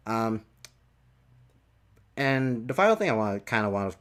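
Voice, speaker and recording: A man's voice: on the low side, big pitch swings, moderate pace, fairly bright tone. A man hosting a casual podcast chat about games, often repeating and rephrasing as he works out his thoughts. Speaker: podcast host.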